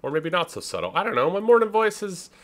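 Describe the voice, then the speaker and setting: A man from Canada hosts a casual let's play in a deep, forward voice. His intonation swings freely as he talks. He muses through his choices and drops in wry, self-deprecating asides. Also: big pitch swings, quick pace, a bit bright. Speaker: gaming YouTuber, host.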